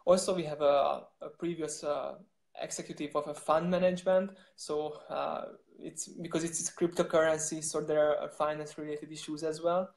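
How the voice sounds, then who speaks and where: A man's voice: fairly high pitch, level tone, normal speed, gravelly, a little mellow. Man speaking in an echoey room. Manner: hesitant, many restarts and fillers. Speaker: startup co-founder. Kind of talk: interview answers.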